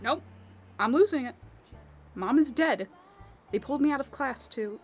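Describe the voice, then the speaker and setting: Actress doing young grieving girl in audio drama, doubtful, talking to herself, highish voice. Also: lively intonation, moderate pace, slightly rough, soft background music. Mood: shaken, defiant. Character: grieving, skeptical young woman who talks to herself.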